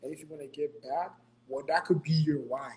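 A man's voice: on the high side, fairly even intonation, fairly quick, dark tone, low-quality recording. A man from Ghana giving earnest, motivational money advice in a casual podcast, often repeating himself and checking in with "right?".

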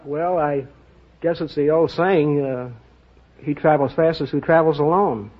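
A man's voice: a little high, steady tone, unhurried. A man answering a quiz host politely as a contestant on an old radio show. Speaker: quiz contestant, investment broker. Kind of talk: answering a host's questions. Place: radio studio before an audience. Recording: dull old radio recording.

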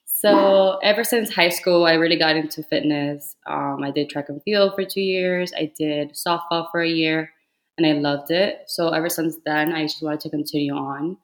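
Chatty young Cuban-accented woman, low voice. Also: fairly even intonation, moderate pace. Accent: Cuban Spanish. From Cuba. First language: Spanish. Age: 20s. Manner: chatty, many "like" fillers. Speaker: podcast guest, fitness influencer. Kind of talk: casual chat.

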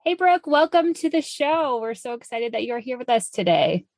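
A woman's voice: a little high, big pitch swings, normal speed, darkish tone, faint music behind. Upbeat woman hosting a podcast. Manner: upbeat, promotional. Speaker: podcast host. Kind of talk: scripted podcast intro and interview.

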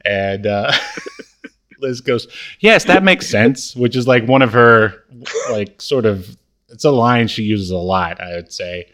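A man co-hosting a podcast, casually recapping a TV comedy in a halting way. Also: fairly low pitch, animated, a bit bright. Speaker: podcast co-host.

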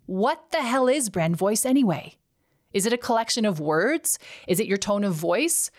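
Canadian woman hosting a lively podcast. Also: medium pitch, moderate pace. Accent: slight Canadian. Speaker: podcast host. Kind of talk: scripted solo podcast monologue.

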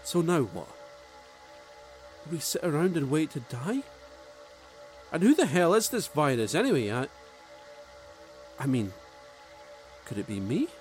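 A man acting a frightened male crew member woken from stasis, halting and disbelieving. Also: on the high side, very animated, faint music behind. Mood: anxious. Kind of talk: audio drama acting.

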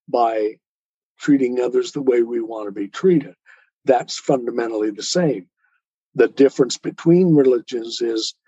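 A man giving explanations as an interview guest, deliberate, declarative and didactic in manner. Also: medium pitch, mellow, husky, no room sound, lo-fi sound.

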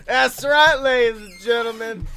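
High-voiced, animated man joking around. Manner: animated, boisterous, goofy riffing. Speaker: podcast co-host. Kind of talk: comedic casual chat.

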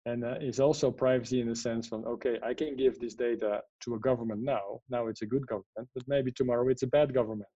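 A man's voice: medium pitch, steady tone, quick pace, hardly any pauses, dark tone, no room sound, highs cut off. A man from the Netherlands, a guest in an interview, explaining at length with many fillers and checking "yeah?" questions. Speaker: guest, AI strategist and author. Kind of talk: interview answers.

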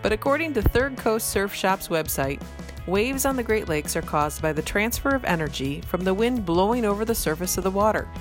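A woman's voice: medium pitch, animated, fairly quick. A woman presenting a scripted podcast segment with a light, wry delivery. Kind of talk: scripted narration. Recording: clear music bed behind her.

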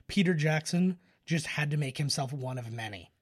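A man's voice: fairly high pitch, lively intonation, moderate pace, husky. A man co-hosting a casual film podcast, explaining at length in a rambling way and often interrupting himself. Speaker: podcast co-host. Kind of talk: casual film discussion banter.

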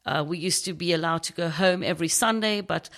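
South African woman, middle-aged, low-pitched. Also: fairly quick, fairly bright tone. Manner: thoughtful, hesitant with many ums. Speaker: podcast guest. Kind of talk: casual interview conversation.

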